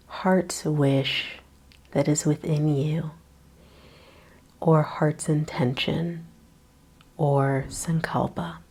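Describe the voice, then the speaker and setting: A woman teaching a guided yoga nidra meditation, speaking softly with long pauses and breathy, trailing words. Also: deep voice.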